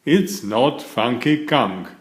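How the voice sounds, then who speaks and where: Storybook cat, read by a man: friendly young male leader, animated, echoey room. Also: mid-range voice, slow. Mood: puzzled.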